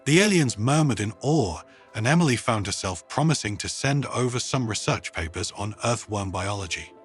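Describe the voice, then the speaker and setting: A man narrating a humorous science fiction story in an expressive, scripted storytelling style. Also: on the low side, normal speed, breathy edge, soft background music.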